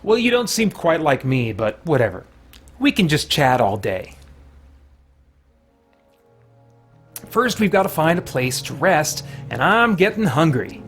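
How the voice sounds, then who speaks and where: A man's voice: fairly high pitch, very animated, moderate pace, fairly bright tone, breathy edge, heavily acted. A man voicing a cocky, flippant young monster fighter from a video game. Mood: cheeky. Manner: flippant, brash, casual. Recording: music playing behind the voice.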